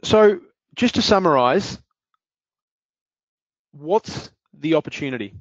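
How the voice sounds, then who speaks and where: A man walking through a software demonstration in a webinar, explaining steps with frequent fillers. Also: on the high side, lively intonation, slow, no echo, highs cut off. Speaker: software demo presenter.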